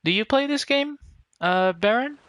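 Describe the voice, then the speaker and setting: A man hosting a live game stream, chatting casually and politely with viewers in a halting way, searching for words. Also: extremely high pitch, lively intonation, fairly bright tone.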